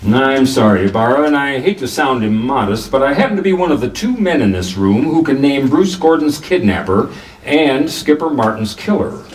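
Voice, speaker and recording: A wry, hardboiled private detective played by a male actor in an old-style radio play, self-assured and swinging widely in pitch. The sound is roomy and a little away from the microphone. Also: sing-song, normal speed, natural voice. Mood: confident accusing. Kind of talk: 1940s radio detective drama acting with first-person narration. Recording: roomy echo, distant from the microphone.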